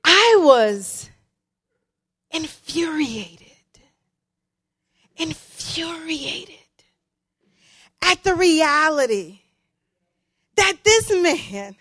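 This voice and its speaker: A woman preaching a sermon as a guest, emphatic and given to deliberate repetition for weight. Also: extremely high pitch, big pitch swings, a bit bright.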